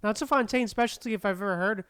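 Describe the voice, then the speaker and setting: A woman's voice: medium pitch, quick pace, a bit bright, smooth, no echo, studio quality. A woman streaming a game with casual, musing, sweary commentary, reading game text aloud.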